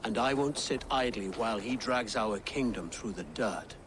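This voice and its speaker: An actor playing an earnest Saxon nobleman in a game cutscene, speaking in a measured, formal, worried manner. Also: lowish voice, noisy background, natural voice. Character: anxious, earnest Saxon nobleman and claimant king. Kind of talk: video game cutscene acting.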